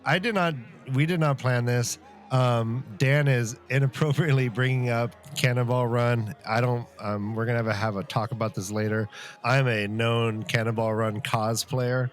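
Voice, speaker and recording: A man's voice: medium pitch, steady tone, moderate pace. A man co-hosting a podcast, talking casually and wryly, repeating phrases for emphasis. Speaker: podcast co-host. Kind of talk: casual comedic banter and book discussion.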